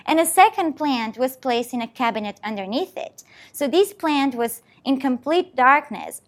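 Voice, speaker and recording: Woman, scientist giving a talk, lively pitch, fairly high voice. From Portugal. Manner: explanatory, walking through an experiment step by step. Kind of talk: presentation talk.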